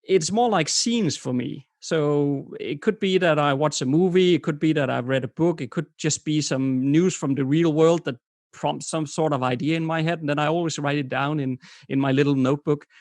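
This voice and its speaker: A middle-aged man with a Danish accent, a guest author on a video call, hesitant and quick to laugh. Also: on the high side, a little mellow, no room sound. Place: home room, indoors. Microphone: headset.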